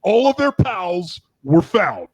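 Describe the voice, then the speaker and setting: A man's voice: high-pitched, very animated, takes it slowly, no echo. A man in his thirties reading bad fan fiction aloud with wry exasperation. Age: 30s.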